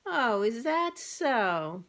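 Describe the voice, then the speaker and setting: A woman's voice: on the high side, sing-song, extremely slow, a bit bright, pitched above the performer's own voice, exaggerated voice. A brash male farm cat from a children's storybook, read aloud by a woman. He talks plainly and bluntly, with a challenging edge.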